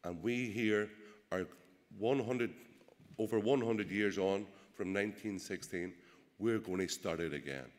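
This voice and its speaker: A man with a Derry accent gives a rousing political speech to a crowd, asking rhetorical questions and pausing often on "uh". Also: lowish voice. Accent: Derry, Northern Irish. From Northern Ireland. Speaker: political speaker. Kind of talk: public speech at a rally. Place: large event hall. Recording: echoey hall with long reverb.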